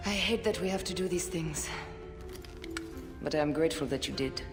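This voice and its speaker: An actress playing a devoted woman speaking softly to her husband. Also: low voice, in a large hall, music in the background. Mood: tender. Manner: soft, slow, intimate. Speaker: hero's wife.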